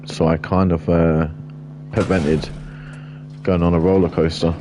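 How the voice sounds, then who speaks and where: A man with a deep voice giving casual, rambling gameplay commentary while he builds, blunt and chatty. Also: flat delivery, measured pace, noisy background. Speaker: gaming streamer.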